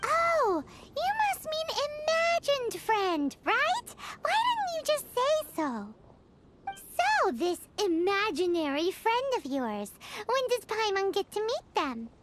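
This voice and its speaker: A woman voicing a tiny, excitable, childlike fairy companion girl in a video game, nosy and blunt, with a squeaky voice. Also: takes it slowly, slight background noise, full character voice. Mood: excited, curious. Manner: exclamatory, talks about self in third person. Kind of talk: video game character dialogue.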